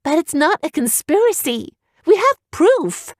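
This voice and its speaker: A woman's voice: very high-pitched, sing-song, unhurried, no room sound, clean studio sound, pitched above the performer's own voice, heavily acted. A woman voicing a fiery young heroine who speaks urgently and defiantly.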